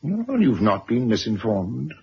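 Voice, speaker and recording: Gravelly, brusque newspaper magnate. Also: male voice, mid-range voice, huge pitch swings, unhurried, exaggerated voice. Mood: self-satisfied. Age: middle-aged. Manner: curt, pompous, self-satisfied. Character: brusque self-made newspaper magnate, stern father. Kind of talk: 1970s radio drama acting. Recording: dull, noisy vintage radio recording.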